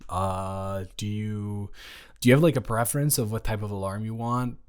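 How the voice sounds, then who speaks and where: A man's voice: lowish voice, moderate pace, smooth, close to an everyday voice. A young man playing a shy, good-natured college dormmate in a romantic audio roleplay, stammering and rambling hesitantly. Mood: upbeat, eager. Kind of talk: intimate romantic audio roleplay, one-sided conversation.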